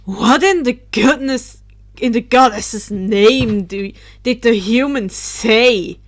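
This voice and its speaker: A woman's voice: a little high, takes it slowly. A woman voicing a brash, exasperated male Dementor creature in a fan fiction reading, blunt and scornful.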